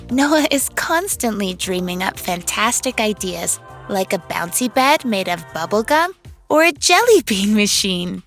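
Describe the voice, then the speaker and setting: A woman's voice: mid-range voice, fairly bright tone. A woman voicing an upbeat, confident young girl inventor, telling a children's read-along cartoon story in an enthusiastic, encouraging way. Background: music under the voice.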